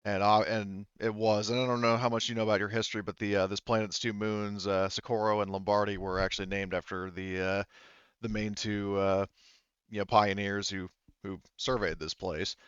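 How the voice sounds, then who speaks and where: A man voicing a veteran colonial prospector in a tabletop game, casual and forthcoming, explaining in a rambling, halting way. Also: lowish voice, little pitch movement, a bit bright, smooth, dry recording, highs cut off, lightly acted. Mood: explanatory.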